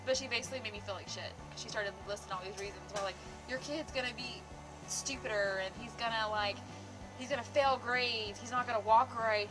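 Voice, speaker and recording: A woman's voice: fairly high pitch, low-quality recording. A woman in her twenties giving a casual, opinionated rant in a blunt, emphatic way, seated indoors a few feet from the camera. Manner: blunt, emphatic, frequent swearing. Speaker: host of a parenting discussion vlog. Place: indoor room, seated in a group.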